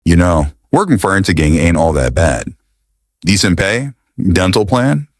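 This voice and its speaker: A man's voice: low-pitched, big pitch swings, measured pace, no room sound, clean studio sound, full character voice. A man playing a casual, boastful gangster henchman in an audio drama. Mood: relaxed, boastful. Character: laid-back low-ranking gangster henchman, boastful.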